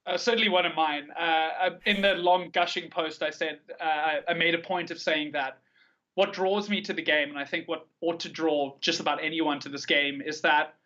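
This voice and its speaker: South african guy chatting on a podcast, highish bright voice, kinda dull lo-fi recording, really keen and articulate. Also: fairly even intonation, normal speed. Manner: enthusiastic, articulate. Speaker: podcast guest, card game tournament player. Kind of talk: conversational interview, long anecdotal answers.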